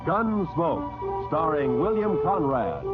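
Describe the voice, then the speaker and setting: An actor as a bold, dramatic announcer, declaiming emphatically for an old radio western. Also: male voice, on the high side, sing-song, slow pace. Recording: tinny old radio, loud music behind.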